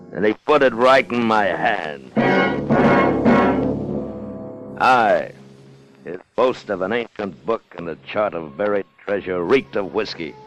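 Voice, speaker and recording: An actor playing a ruthless, brazen sailor in a 1950s radio drama, with a cool, insolent, clipped manner. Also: male voice, deep voice. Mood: gloating. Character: ruthless, brazen murderous sailor turned first mate. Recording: muffled 1950 radio broadcast with music.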